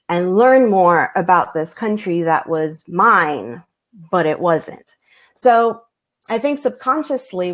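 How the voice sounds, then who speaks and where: A woman author speaking reflectively on a video call through earbuds, searching for words with some fillers. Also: fairly low pitch. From United States. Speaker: author on a panel. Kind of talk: reflective conversation.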